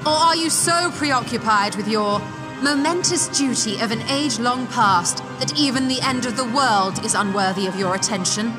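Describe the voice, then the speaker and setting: Woman playing a stern, sardonic sorceress, higher-pitched. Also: moderate pace, over music, natural voice. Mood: cold, accusing. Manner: formal, biting, controlled. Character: stern, sardonic catfolk sorceress confronting a council. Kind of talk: dramatic video game dialogue.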